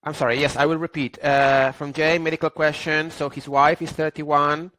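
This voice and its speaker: A man's voice: on the high side, little pitch movement, normal speed, a bit bright, no echo, poor audio. A man moderating a webinar, politely reading out audience questions with fillers.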